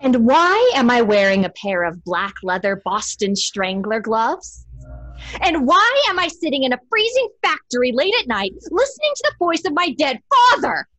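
A woman's voice: sits high, big pitch swings, moderate pace, fairly bright tone, dry recording, full character voice, rough recording. A young woman playing a greedy, impatient sister in a horror audio drama, sarcastic and exasperated. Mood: exasperated, incredulous. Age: young adult. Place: home room, video call.

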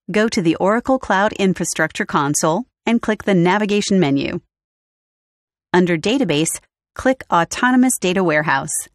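A woman narrating a scripted step-by-step software walkthrough in a clear, even instructional manner. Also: lowish voice, no echo, studio quality. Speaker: tutorial narrator. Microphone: studio voice-over.

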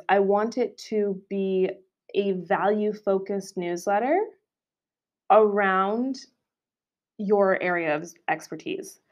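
A woman's voice: mid-range voice, a little mellow, highs cut off. A young woman with a Canadian accent, hosting a solo podcast in a casual, chatty way with frequent fillers. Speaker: podcast host.

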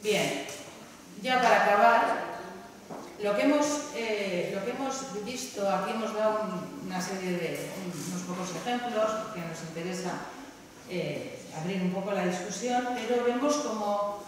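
A woman's voice: mid-range voice, unhurried, slight background noise. A woman with a Basque Country Spanish accent presenting research at a panel, hesitant with many fillers. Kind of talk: academic conference talk. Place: large university lecture hall. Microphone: table mic, far camera. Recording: echoey hall from far off.

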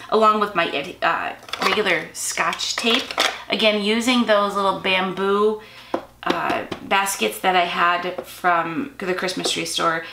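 A woman's voice: fairly low pitch, creaky. A woman in her forties hosting a craft channel, chatting casually as she shows what is in her drawers and correcting herself as she goes. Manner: chatty, self-correcting, relaxed. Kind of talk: casual show-and-tell narration. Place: home craft room, indoors. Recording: bright echoey room with faint noise.

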